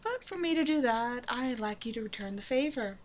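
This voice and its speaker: A woman's voice: fairly high pitch, expressive, brisk, slight background noise, lightly acted. A woman playing a flirtatious, self-assured supervillain in an intimate roleplay audio drama, talking casually and teasingly to the listener. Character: flirtatious female supervillain, a demanding but generous tailor's customer.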